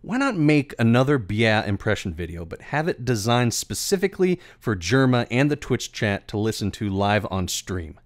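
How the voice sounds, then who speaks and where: A man's voice: fairly low pitch, very animated, normal speed, hardly any pauses, no room sound, studio quality. A man narrating a scripted story for his videos in a theatrical, wordy storytelling manner. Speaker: video creator and voice actor.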